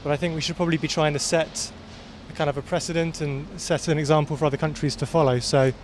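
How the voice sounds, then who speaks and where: A man on a city street answering in a brisk, mildly incredulous way. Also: fairly high pitch, flat delivery, slight background noise. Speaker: passer-by.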